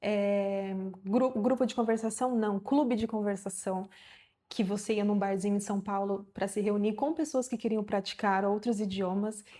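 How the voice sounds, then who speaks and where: Mellow-voiced Brazilian woman in her thirties. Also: mid-range voice, steady tone, moderate pace. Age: 30s. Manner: chatty, encouraging, many fillers and restarts. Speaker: vlogger. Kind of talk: casual talk to camera.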